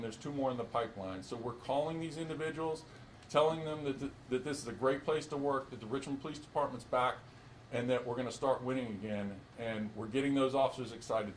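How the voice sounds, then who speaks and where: Police chief giving an earnest briefing. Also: male voice, medium pitch, a little hiss or hum, rough recording. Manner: earnest, measured, with frequent restarts and fillers. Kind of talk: press briefing.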